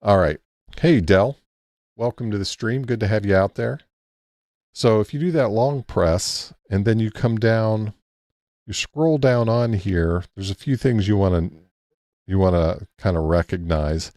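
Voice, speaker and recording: A man hosting a casual ham radio live stream, thinking aloud in a halting way with many restarts as he walks through a map on screen. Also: fairly low pitch, darkish tone, dry recording, studio quality. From Texas.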